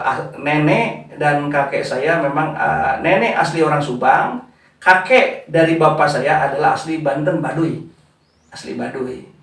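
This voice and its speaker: A man in his thirties from West Java, giving unhurried interview answers full of small fillers, and he calls the interviewer "Pak". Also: a little high, far from the mic. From West Java, Indonesia. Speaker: founder of a community organization. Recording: echoey room, voice from off-mic.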